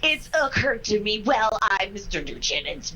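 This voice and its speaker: A woman's voice: medium pitch, big pitch swings, moderate pace, sharp tone, slight background noise. A woman reading a 1940s radio play part on a video call, playful and theatrical. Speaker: cast member in a comedic radio play reading. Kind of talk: 1940s radio acting, improvised jokes.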